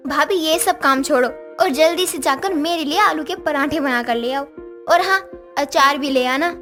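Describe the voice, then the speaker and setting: Casting: female actor as spoiled young tattletale, very high voice, demanding and whiny, cartoon style over music. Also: moderate pace, fairly bright tone. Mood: bossy. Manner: demanding, complaining. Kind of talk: Hindi moral-story cartoon dubbing.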